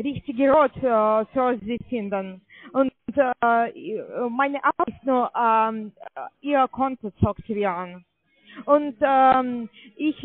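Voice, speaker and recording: A woman call centre agent giving a very hesitant sales pitch in broken, non-fluent phrases. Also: no echo. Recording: tinny narrowband phone line.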